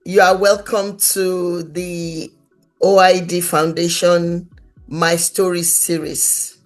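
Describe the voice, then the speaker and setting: A woman with a slightly low voice hosts an interview at an unhurried pace. She is gentle and encouraging with her guest. Faint music plays behind her. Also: level tone. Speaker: interview host. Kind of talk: warm conversational interview hosting.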